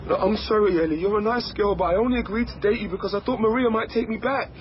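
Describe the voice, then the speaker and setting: Actor as a high-voiced, hapless delivery man. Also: fast talker, close to an everyday voice. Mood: heartbroken, plaintive. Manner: hesitant, plaintive. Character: hapless, lovelorn male delivery man, mild and exasperated. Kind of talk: radio comedy drama dialogue. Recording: dull sound with faint noise.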